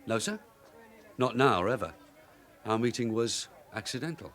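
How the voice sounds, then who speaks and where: An actor playing a shrewd, middle-aged police sergeant in a radio drama, streetwise and wry. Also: male voice, mid-range voice, animated, moderate pace, a bit bright, a faint crowd, lightly acted. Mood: guarded, polite. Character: shrewd, plain-speaking veteran London police detective sergeant.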